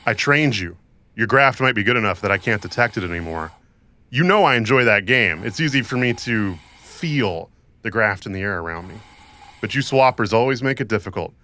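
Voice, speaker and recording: Sharp-edged, sardonic male mercenary, acted. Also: lowish voice, expressive, brisk. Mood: wry. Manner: wry, goading. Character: bitter, sardonic, tough male cyberpunk mercenary and mentor. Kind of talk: audio drama acting.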